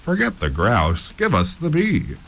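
A man's voice: mid-range voice, huge pitch swings, measured pace, vocal fry, fairly bright tone, pitched above the performer's own voice, exaggerated voice. A man voicing rowdy, tipsy scientists in a story, heckling with insistent chants. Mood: rowdy, demanding. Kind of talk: acted dialogue in a storybook reading.

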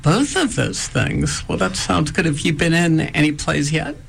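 Man, interview host, heavy vocal fry, hesitant questions, soft music behind. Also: fairly high pitch, expressive. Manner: halting, many "uh" pauses between phrases. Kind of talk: casual interview with students.

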